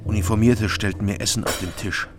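An actor playing a sober, weary captive investigator, narrating a radio drama in the first person in measured, terse phrases. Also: male voice, on the low side, steady tone, music in the background, lightly acted. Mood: weary, matter-of-fact.

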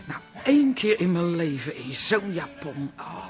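Chatty, swooping older Dutch aunt. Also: female voice, on the low side, big pitch swings, unhurried, soft background music. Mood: admiring, astonished. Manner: folksy, gossipy. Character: chatty, cheeky, thirsty older aunt in a café. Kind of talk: comic radio play acting.

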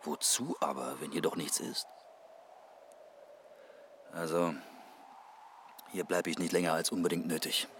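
Low-voiced, hapless grumbling man. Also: steady tone, unhurried, pauses often, a bit bright, slight background noise. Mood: muttering, grumbling. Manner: hesitant, muttering, dryly sarcastic. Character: hapless, grumbling male client on an absurd quest. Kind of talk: German radio drama.

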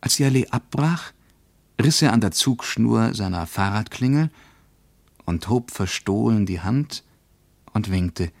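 A man playing a reflective first-person narrator recounting a journey in a radio play, with a measured, precise literary delivery. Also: on the low side, animated, gravelly, dry recording, full character voice.

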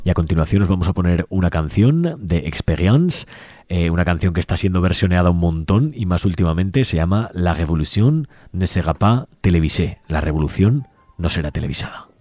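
A man presenting a community radio show, reading out a manifesto and describing a project in a measured, slightly halting way. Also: low voice, animated, a little mellow, dry recording, faint music behind. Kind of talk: community radio presentation, partly read aloud.

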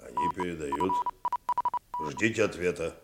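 A grumpy old lighthouse man in a 1960s radio drama. His voice is very low and gruff, swinging impatiently up and down, with music behind. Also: lively intonation, a little slow. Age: older. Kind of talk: 1960s radio play acting.

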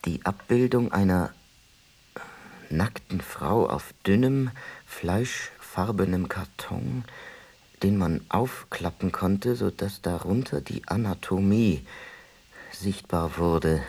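An actor playing a reflective man who recalls his childhood in a halting, musing way in a radio play. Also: deep voice, gravelly, darkish tone, slightly rough, heavily acted. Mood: hushed, hesitant. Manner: halting, musing, self-correcting. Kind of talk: radio play monologue, reminiscing.

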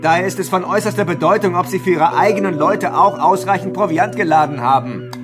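Male actor as authoritative mayor, higher-pitched, formal, measured, calculating, commanding, radio drama, music underneath. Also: lightly acted. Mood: stern. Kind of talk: German radio drama acting.

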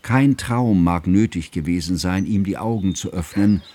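An actor playing an earnest, scholarly friend narrating a composer's life in a formal, measured literary radio drama style. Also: male voice, low-pitched, mellow. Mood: pondering.

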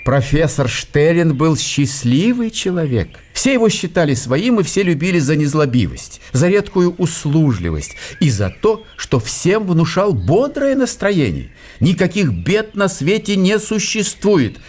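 A man narrating a literary radio drama in an expressive, wry storytelling manner. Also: fairly high pitch, a little slow, few pauses.

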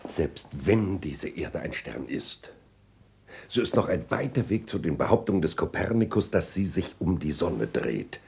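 An actor in a 1960s German radio drama playing a cautious, anxious learned friend, grave and deliberate with a creaky voice and theatrical delivery. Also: male voice, big pitch swings, a little mellow, slight background noise, exaggerated voice. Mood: hesitant, awed. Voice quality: creaky low voice. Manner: grave and deliberate, flaring into agitated outbursts.

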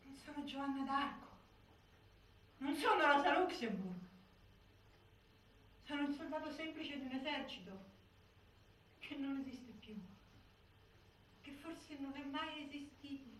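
A woman's voice: high-pitched, a little slow, pauses often, fairly bright tone. An actress playing a defiant, despairing imprisoned militant woman in a declamatory theatrical monologue. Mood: bitter defiance. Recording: very echoey stage, far mic, faint noise.

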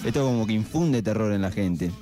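Male radio host, Argentine accent, mellow voice, casual chat, faint music behind. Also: lowish voice, lively intonation, a little fast. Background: soft guitar music.

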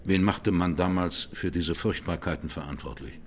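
An actor playing a probing judge in a radio drama courtroom, questioning a witness in a formal, sober way. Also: male voice, sits low, moderate pace, slight background noise, natural voice.

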